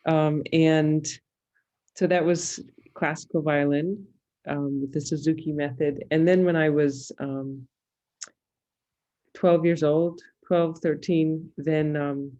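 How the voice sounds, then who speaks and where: A woman's voice: deep voice, fairly even intonation, takes it slowly, mellow. Hesitant American woman on dull lo-fi audio. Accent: Californian American. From California, United States. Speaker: interview guest, musicologist and fiddler. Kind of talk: casual interview conversation.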